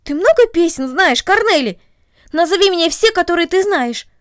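Woman voicing an excitable high-pitched young girl. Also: moderate pace, no echo, studio quality. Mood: delighted, gushing. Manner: exclamatory, gushing. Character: excitable, enthusiastic young girl who loves singing.

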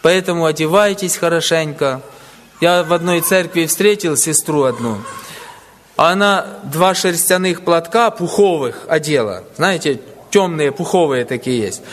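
A man's voice: on the high side, unhurried, in a large hall, slight background noise. A man preaching a sermon to brothers and sisters, weaving in stories of church members he met. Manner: tells anecdotes, quotes people.

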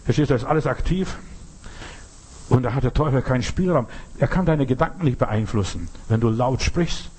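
A man's voice: mid-range voice, animated, brisk, darkish tone, slightly rough. A middle-aged man preaching a sermon with earnest emphasis, often asking his listeners whether they understand.

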